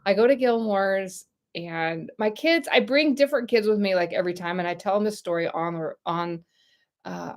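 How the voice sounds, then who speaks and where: A woman's voice: mid-range voice, normal speed. A middle-aged American woman chatting casually on a livestream, rambling and talking straight to her viewers. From United States. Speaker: YouTube channel host.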